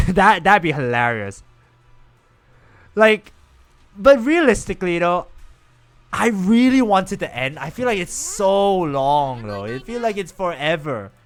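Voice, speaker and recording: A young man live-streaming a game, chatting with viewers in an animated, rambling way. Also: fairly high pitch. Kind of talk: casual live-stream chat while gaming.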